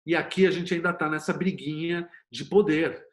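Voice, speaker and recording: A man's voice: a little high, normal speed. A Brazilian man speaking as an interview guest, explaining conversationally in an opinionated way with rhetorical questions. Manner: opinionated, animated storytelling with rhetorical questions and acted quotes. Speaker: screenwriter and series producer, interview guest.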